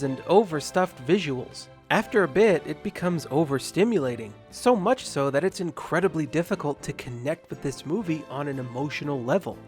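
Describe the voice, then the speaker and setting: Sarcastic male reviewer over background music. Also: on the high side. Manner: sarcastic, emphatic. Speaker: film review host. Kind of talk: scripted review commentary with sarcastic asides. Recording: music behind the voice.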